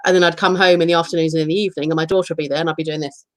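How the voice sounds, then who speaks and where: A woman's voice: low-pitched, little pitch movement, rapid-fire, no room sound, studio quality. A woman in her thirties, co-hosting a casual chat and telling candid, self-deprecating stories. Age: 30s. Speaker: podcast co-host.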